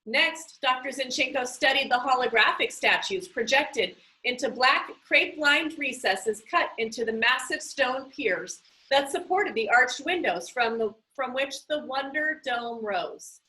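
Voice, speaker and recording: A woman narrates a children's novel in a high-pitched voice with a bright, forward tone. Her read-aloud delivery is deliberate and expressive, with each phrase carefully set out for listeners. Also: rough recording.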